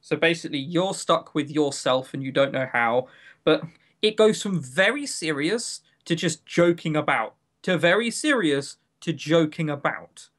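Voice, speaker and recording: A man with a fairly high voice co-hosts a casual review podcast. He speaks with enthusiasm and strong emphasis, and likes to joke as he makes his points. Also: lively intonation, unhurried, a bit bright. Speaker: podcast co-host.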